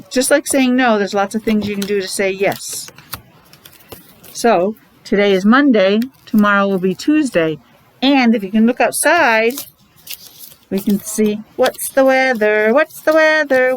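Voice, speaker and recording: A woman's voice: on the high side, a little hiss or hum, low-quality recording. A middle-aged woman teaching young children in an interactive morning lesson, using simple repeated phrases, questions and sounded-out letters. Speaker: preschool or special education teacher leading morning meeting.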